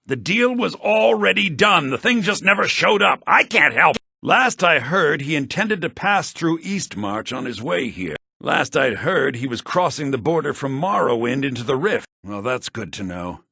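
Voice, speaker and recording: A shifty, irritable fantasy game townsman with a bright, forceful, slightly rough voice. His sardonic delivery swings widely in pitch, as if his patience is always short. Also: dry recording, clean studio sound, full character voice. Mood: dry, weary. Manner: expressive, sardonic, quick to exasperation. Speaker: voice actor of game characters. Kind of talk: video game character voice lines.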